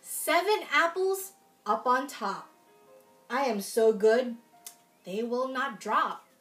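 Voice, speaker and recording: Young woman doing storytime for little kids, high voice, takes her time, super expressive. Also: slow pace, faint music behind. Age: young adult. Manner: expressive, exaggerated storyteller delivery. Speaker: children's library storytime reader. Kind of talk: animated picture-book read-aloud for young children. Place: room at home, in front of a folding screen.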